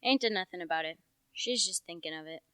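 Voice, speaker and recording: A young woman playing a gruff, nosy rustic village policeman in a radio play, with a folksy, officious way of talking. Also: lowish voice, quick pace, fairly bright tone, dry recording, close to an everyday voice. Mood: chatty, grumbling. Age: young adult. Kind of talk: radio play character acting.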